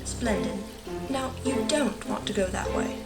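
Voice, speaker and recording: A cheerful, whimsical lady, acted by a woman, gives rambling, slightly fussy guidance in storybook style. The voice sounds distant and echoey, with loud music around it. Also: mid-range voice, measured pace, vocal fry. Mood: breezy, helpful. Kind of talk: audio drama acting in storybook manner.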